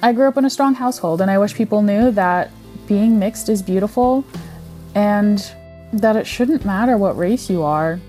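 一位女性声音柔和温暖，语气真诚，背景有轻微的吉他音乐。. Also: female voice, mid-range voice, normal speed, dark tone, faint music behind. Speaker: interviewee.

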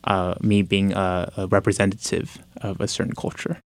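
Hesitant young man, gravelly low voice. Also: level tone, loud music behind the voice. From United States. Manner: hesitant and careful, with many pauses and restarts. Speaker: interviewee. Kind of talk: personal interview talk.

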